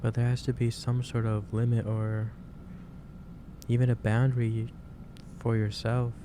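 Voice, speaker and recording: Man playing a gentle, halting boss, noisy recording. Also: medium pitch, little pitch movement, close to an everyday voice. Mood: earnest, kindly chiding. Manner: hesitant, halting, careful phrasing. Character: gentle, concerned male office boss, lecturing kindly. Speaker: ASMR roleplay performer. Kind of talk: soft-spoken ASMR roleplay. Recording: clearly noisy ambient background.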